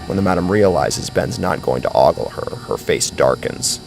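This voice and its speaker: A man's voice: deep voice, expressive, normal speed, vocal fry, over music. A man narrating a scripted horror story in a dramatic style.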